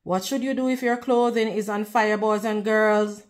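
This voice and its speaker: A woman reading a picture book aloud to children in a deliberate, teacherly way, pausing to put questions to them. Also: medium pitch, steady tone. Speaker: children's read-aloud host.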